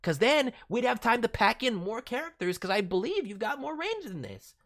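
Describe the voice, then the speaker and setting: A woman's voice: fairly high pitch, sing-song, normal speed. A woman hosting a livestream critique as a voice director, giving encouraging, emphatic feedback. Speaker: host, voice director reviewing demo reels. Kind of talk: spoken critique, livestream commentary.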